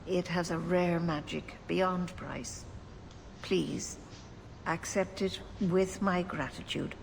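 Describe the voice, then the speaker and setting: Game casting: dignified elven matriarch, lowish voice, slow, formal delivery. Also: female voice, steady tone, vocal fry, a little hiss or hum, rough recording. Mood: grateful, formal. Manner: measured, formal. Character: solemn, dignified older elven female clan elder. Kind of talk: video game character dialogue.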